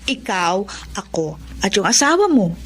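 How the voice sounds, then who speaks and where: An actress playing a brazen, scheming mistress in a melodrama, coaxing in a drawl. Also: female voice, mid-range voice, big pitch swings, measured pace. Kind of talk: Filipino melodrama acting.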